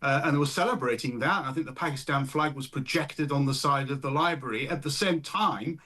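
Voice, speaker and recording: A man's voice: mid-range voice, brisk, pushed and bright. A man, a political campaigner, guesting on talk radio, indignant and ironic. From England. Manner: indignant, mock-shocked, ironic.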